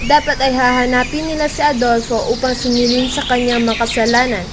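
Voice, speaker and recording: A woman's voice: high voice, level tone, fairly quick, background noise. A young woman reading scripted story narration for an amateur radio drama. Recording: loud music behind a homemade recording.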